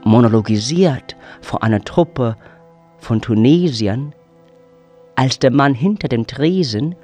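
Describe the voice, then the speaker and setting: Slow, measured male narrator over music. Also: medium pitch, animated, a little mellow. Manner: measured, storytelling. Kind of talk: scripted radio drama narration.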